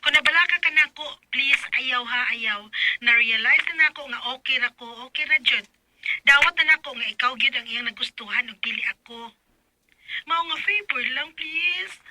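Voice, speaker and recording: A woman's voice: sits high, moderate pace, pushed and bright, a little hiss or hum, poor audio. A woman playing an apologetic, self-sacrificing young student in a radio drama, pleading and emphatic, with a Cebuano accent.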